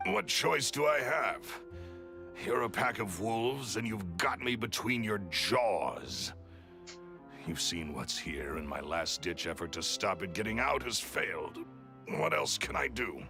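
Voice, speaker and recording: A man's voice: lowish voice, very animated, a little slow, gravelly, music in the background, heavily acted. An actor playing a ruthless scheming man who has just been caught. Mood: defiant resigned. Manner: bitter, resigned.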